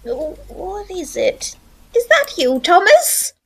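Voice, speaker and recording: An actress as an old-fashioned mother in a ghost-story audio drama, anxious yet stubborn. Her voice is high and very expressive, and she speaks slowly and theatrically. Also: heavily acted. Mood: startled.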